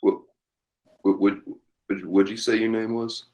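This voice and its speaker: A man acts an old West army captain with schemes in mind. The voice is low and deep. He speaks haltingly, doubling back on his words, in a read-through of a western script. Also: little pitch movement, darkish tone, natural voice. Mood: weak, dazed. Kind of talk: dramatic table read of a western.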